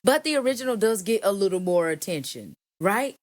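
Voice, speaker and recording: A deep-voiced woman singer talks shop in a lively, emphatic manner, teasing her host as she goes. Her voice sits at an ordinary pitch for a woman, and the sound is dry and close with no room around it. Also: a little fast, no echo. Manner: animated, emphatic, playful teasing. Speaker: singer and songwriter, guest. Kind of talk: casual interview chat.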